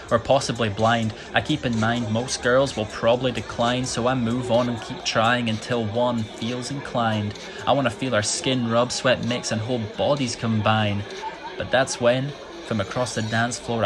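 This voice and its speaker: Young British male slam poet; rhymed, rhythmic, theatrical storytelling. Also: mid-range voice. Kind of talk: rhymed slam poetry performance.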